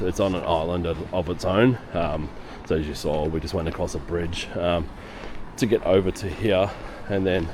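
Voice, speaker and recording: A man with a hoarse voice from a lingering cough, giving chatty walking-tour commentary on busy city streets. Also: deep voice. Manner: chatty, rambling, with fillers. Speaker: travel vlogger. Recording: outdoor street noise. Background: city street noise and traffic.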